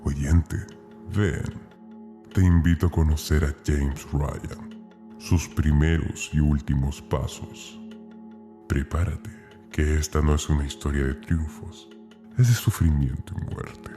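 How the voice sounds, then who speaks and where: Man playing sinister horror host, extremely low voice, slow savoring delivery, formal, ominous, prominent music behind. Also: fairly even intonation. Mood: ominous relish. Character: sinister male collector, horror host relishing suffering. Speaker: narrator host. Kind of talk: theatrical horror audio drama narration.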